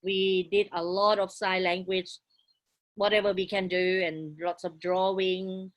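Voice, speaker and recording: A woman in her forties, English not her first language, telling her life story as a guest in halting, broken English with frequent restarts. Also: mid-range voice, flat delivery. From outside Australia. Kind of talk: casual interview, life story.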